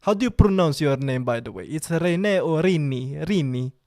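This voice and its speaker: A man's voice: a little high, animated, moderate pace, a little mellow. Playful man with an Italian accent. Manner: playful, joking, repeats himself. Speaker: livestream host. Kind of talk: casual live-stream chat.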